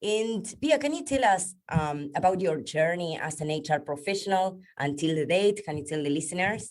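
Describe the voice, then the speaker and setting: Low-voiced woman hosting a podcast. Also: animated, moderate pace, a little mellow. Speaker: podcast host. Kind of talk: hosted interview.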